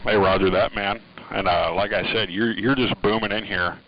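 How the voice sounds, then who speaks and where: Easygoing guy on a radio link, lowish voice, kinda dull audio, lots of radio lingo. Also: fairly even intonation, normal speed. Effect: two-way radio. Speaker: radio operator. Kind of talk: casual radio chat between operators. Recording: dull band-limited radio transmission.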